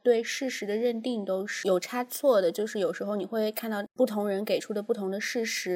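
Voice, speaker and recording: A woman's voice: on the high side, darkish tone. A woman co-hosting a podcast, talking earnestly and with firm opinions in a casual panel discussion. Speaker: podcast co-host.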